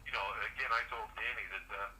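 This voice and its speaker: A man's voice: medium pitch, steady tone, fast, pushed and bright. An American man speaking firmly on a phone call as a company security director, explaining his position. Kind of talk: phone conversation. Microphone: telephone call. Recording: noisy, distant telephone call recording.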